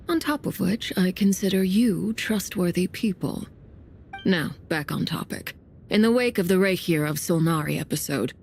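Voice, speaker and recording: A woman playing a guarded, authoritative commander in a video game, speaking formally in a measured, serious way. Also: fairly low pitch, slight background noise. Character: composed, guarded, authoritative female military commander.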